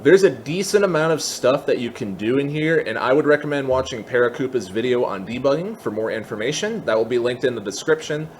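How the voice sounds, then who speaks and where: A man hosting a casual software tutorial, explaining things step by step in an informal way. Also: medium pitch, lively intonation, a little fast, hardly any pauses, slight background noise. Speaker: tutorial host.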